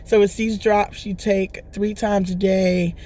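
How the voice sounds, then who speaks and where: A woman with a hoarse voice that is still recovering, talking casually and at length to her vlog viewers and often asking "right?". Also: medium pitch, little pitch movement, a little slow, mellow. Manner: rambling, chatty, often checks in with "right?". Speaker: vlogger. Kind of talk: casual testimonial chat.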